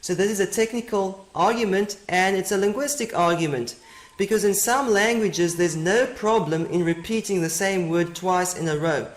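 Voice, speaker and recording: High-voiced man, Afrikaans native, roomy echo. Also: moderate pace. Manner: methodical, repeats key points. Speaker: presenter. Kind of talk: teaching presentation.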